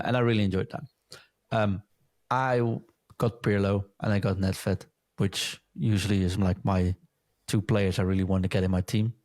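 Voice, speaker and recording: A man hosting a casual gaming podcast, talking in a relaxed, rambling way with many pauses and ums. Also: fairly low pitch, dry recording. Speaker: podcast host.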